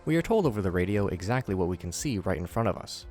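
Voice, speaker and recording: A man with a low voice narrates a scripted recap and adds his own commentary. He slips in wry, jokey asides as he goes, and music plays clearly behind him. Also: very animated, fast, a little mellow.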